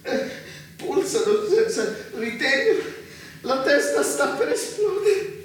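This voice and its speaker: An actor playing a fanatical, tormented brother in a stage drama, grandiose and pleading, declaiming in halting phrases and repeating himself. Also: male voice, extremely high pitch, very animated, full character voice. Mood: feverish, grandiose. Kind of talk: stage theatre acting. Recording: echoey stage from far off, faint noise.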